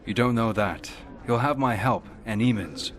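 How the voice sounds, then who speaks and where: An actor playing a composed, loyal warrior hero in a video game, asking direct questions in a measured way. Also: male voice, mid-range voice, a bit bright, slight background noise, lightly acted. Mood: composed, probing. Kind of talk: video game cutscene acting.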